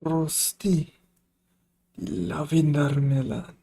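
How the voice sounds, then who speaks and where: A man's voice: on the high side, level tone, slow, mellow. A man giving casual, off-the-cuff gameplay commentary in short, mumbled asides. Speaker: game streamer.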